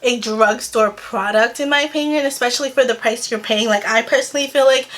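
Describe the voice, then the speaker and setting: A young woman with a slightly raspy voice, chatting casually and gushing through a makeup review for her channel. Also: fairly high pitch, brisk, a bit bright. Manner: chatty and gushing, frequent "like" and "girl". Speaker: beauty vlogger.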